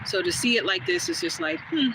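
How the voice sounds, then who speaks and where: A woman with a smooth, soothing voice, chatting casually with viewers during a live stream and answering their comments as she goes. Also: on the high side, a little fast. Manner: relaxed, rambling, talks back to chat comments. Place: outdoors on a quiet street. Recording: noisy outdoor phone recording.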